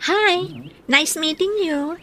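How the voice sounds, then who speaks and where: A friendly, easygoing college girl, played by a woman in a radio drama. She speaks informally in a high voice. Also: slow pace, pushed and bright, heavily acted. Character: friendly, informal young female university student.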